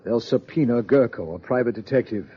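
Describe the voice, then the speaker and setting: An actor playing a cool, bitter young widower in a 1950s radio drama, clipped and aloof. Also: male voice, mid-range voice, a little fast, slightly rough, natural voice. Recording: dull old radio recording.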